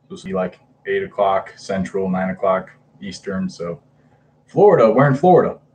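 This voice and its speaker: Chatty low-voiced man greeting stream viewers. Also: expressive, moderate pace, darkish tone, slight background noise. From Hawaii. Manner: chatty, reads and greets viewers, hesitant fillers. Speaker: comic book livestreamer. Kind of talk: casual livestream chat.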